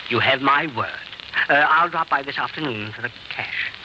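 A man's voice: medium pitch, normal speed, lightly acted. An actor playing a smugly polite male blackmailer in an old-time radio drama, with oily, formal phrasing and polite hesitations. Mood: smug, ingratiating. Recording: noisy old radio recording.

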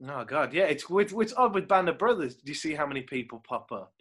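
A young man from Britain chats loosely about acting, jumping between half-finished thoughts and mimicking what people said. His voice sits a bit higher than most men's. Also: sing-song, fairly quick, a bit bright, slightly rough. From United Kingdom. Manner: rambling, laughing, acts out quotes. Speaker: actor guest. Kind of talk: casual chat.

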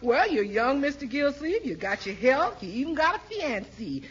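An actress playing a kindly, frank housekeeper with a husky voice and folksy speech in a 1940s radio comedy style. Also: female voice, sits high, sing-song, normal speed, a crowd in the background. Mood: frank, reassuring. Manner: folksy, nonstandard grammar. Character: kindly, forthright housekeeper, deferential yet frank. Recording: dull old radio recording.

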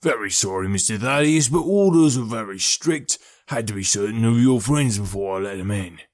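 A man voicing a gruff, stubborn ex-prizefighter porter with blunt, rough working-class speech in an audiobook. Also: lowish voice, animated, moderate pace, a little mellow, close to an everyday voice. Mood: welcoming. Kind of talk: audiobook character voice.

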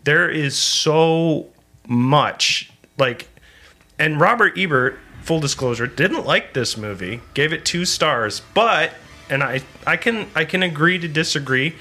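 A man in his forties hosting a casual movie podcast, stammering and animated, joking as he talks. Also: a little high, slow pace, faint music behind. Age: 40s. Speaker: podcast host.

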